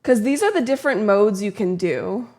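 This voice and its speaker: A woman's voice: medium pitch, lively intonation, normal speed, darkish tone. A young woman streamer chatting casually, self-deprecating and fussing with her microphone setup. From lives in Texas. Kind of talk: casual live-stream chat.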